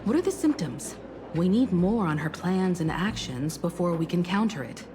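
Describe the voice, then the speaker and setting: A woman's voice: fairly low pitch, expressive, normal speed, noisy background, lightly acted. An actress voicing a serious, businesslike young woman investigator in a video game, curt and no-nonsense. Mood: serious, focused. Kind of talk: video game cutscene acting.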